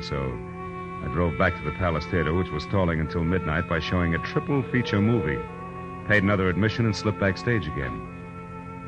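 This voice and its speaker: Deep-voiced hard-boiled detective, wry n sardonic, old radio noir w/ music behind. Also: male voice, lo-fi sound. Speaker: lead actor and narrator. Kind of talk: 1940s radio noir narration.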